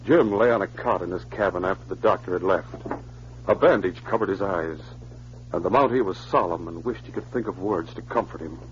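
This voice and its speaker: A man as a serious narrator of frontier adventure, reading scripted 1940s radio narration in a measured, solemn way. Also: sits low, lightly acted. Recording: muffled, hissy old radio recording.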